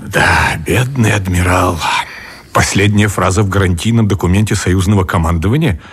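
An actor playing a cautious, cynical army colonel in a radio play, with a very husky voice and a guarded, wry manner. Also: male voice, low voice, normal speed. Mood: wry, resigned.

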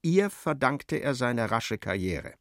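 A man narrating a literary radio drama in a measured, articulate, storytelling way. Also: mid-range voice, very animated, no echo, studio quality.

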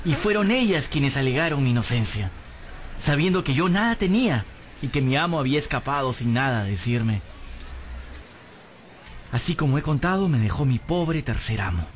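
A man's voice: medium pitch, big pitch swings, normal speed, a little hiss or hum, natural voice. An actor in a radio drama playing a humble, hapless young servant, earnest and plaintive as he tells his misfortunes. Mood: rueful, narrating. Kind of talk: radio drama acting and scripted narration.

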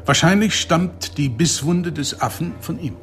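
An actor playing a matter-of-fact veterinary surgeon in a radio drama, speaking precise in a sober, clinical manner. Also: male voice, on the high side, unhurried, fairly bright tone, slight background noise. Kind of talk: German radio drama acting.

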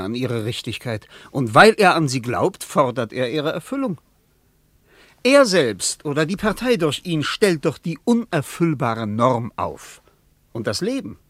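An actor playing an insistent, sceptical debater in a German radio play, with an emphatic rhetorical delivery. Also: male voice, on the high side, fairly bright tone, no echo, full character voice. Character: male traveller, insistent sceptical debater of politics.

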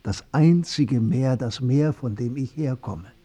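An actor playing a gentle, wise old man, an adoptive father, calm and kindly in a radio drama. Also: medium pitch, slow, breathy edge. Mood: kindly, serene.

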